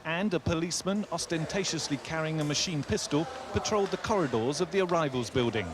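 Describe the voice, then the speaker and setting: A man's voice: a little high, noisy background. Male reporter, scripted field report.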